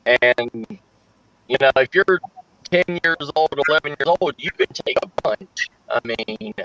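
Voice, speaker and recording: A man chatting casually in a rambling, halting way, with lots of "like", heard over a video call mic. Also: medium pitch, expressive, bright, forceful tone, no room sound, faint music behind. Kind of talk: casual chat. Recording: dull lo-fi dry audio.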